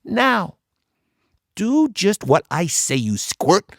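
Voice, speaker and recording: Bossy big-sister pirate queen done by a male reader, high-pitched, taunting, commanding storybook villainess. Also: very animated, drawn-out words, vocal fry, a bit bright, no echo, studio quality, heavily acted. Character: bossy gleeful female pirate captain, Dirty Joe's older sister.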